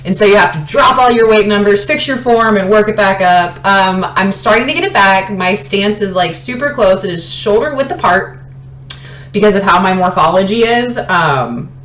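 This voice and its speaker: Casting: woman, late twenties, casual fitness vlogger, animated and rambling storyteller, mid-range voice, echoey room sound. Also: medium pitch, normal speed. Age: late 20s. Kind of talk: casual chatty personal storytelling. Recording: roomy echo, light background noise.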